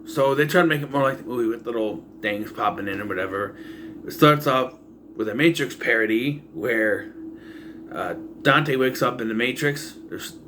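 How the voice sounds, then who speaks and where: A man giving a casual, rambling, improvised recap as a reviewer of an animated series. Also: medium pitch. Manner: loose, improvised, acting out quoted bits. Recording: faint background noise.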